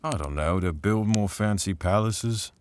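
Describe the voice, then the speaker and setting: An actor playing a casually cynical knight in an audio drama, talking in an offhand, colloquial way. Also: male voice, on the low side, measured pace, a little hiss or hum, lightly acted. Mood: dismissive. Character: gruff gossiping male knight, casually cynical.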